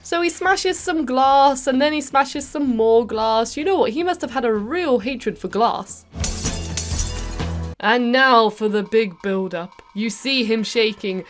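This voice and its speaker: A British woman giving chatty, comedic video game commentary in an expressive, playfully exasperated and mildly sweary way. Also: high voice. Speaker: video game reviewer and channel host. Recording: music behind the voice. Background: background music.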